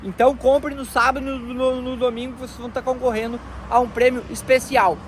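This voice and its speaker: A man's voice: extremely high pitch, level tone, a little fast, slight background noise. A man, a truck shop owner chatting casually to his followers and repeating friendly tag phrases. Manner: chatty, repeats "tá bom, meus amigão". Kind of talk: casual promo talk to followers.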